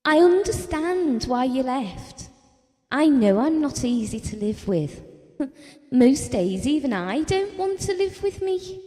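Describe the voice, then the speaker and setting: A woman's voice: high-pitched, sing-song, slow pace, dark tone, exaggerated voice. An actress playing an anxious, grieving wife in an audio drama, confiding her wounded memories of a broken marriage. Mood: hurt, wistful. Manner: wounded, reminiscing, confiding in the listener. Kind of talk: audio drama monologue and dialogue. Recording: reverberant hall-like room sound.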